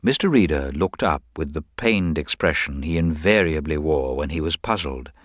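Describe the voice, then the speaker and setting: A man's voice: very deep voice, expressive, normal speed, no echo, clean studio sound. A man narrating a scripted detective story.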